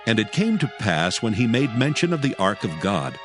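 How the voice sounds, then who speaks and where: Low-pitched male scripture narrator. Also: sing-song. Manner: measured, formal. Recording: music bed behind the voice.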